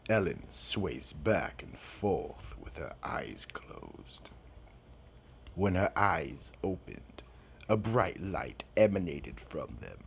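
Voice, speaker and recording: Male narrator: very low, gravelly, slow and ominous. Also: sing-song, a little hiss or hum. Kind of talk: scripted radio drama narration.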